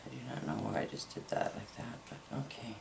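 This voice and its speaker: A middle-aged woman talks casually as she works through a craft demonstration. Her voice crackles with fry and swings freely up and down, though she often mutters to herself. There is clear household noise behind her. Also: a bass voice, very animated, brisk. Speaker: soap maker and host. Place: indoors at a home work table. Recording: noisy room, household background noise.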